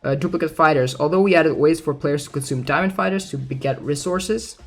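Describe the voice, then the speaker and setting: Male game streamer, voice a bit high, casual livestream commentary, hesitant think-aloud delivery, music underneath. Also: fairly quick, gravelly.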